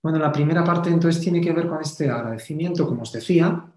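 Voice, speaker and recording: A man presenting a slide lecture in a didactic, measured way with pauses. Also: a little high, darkish tone. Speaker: Jesuit speaker giving a conference talk. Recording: roomy, echoey voice pickup.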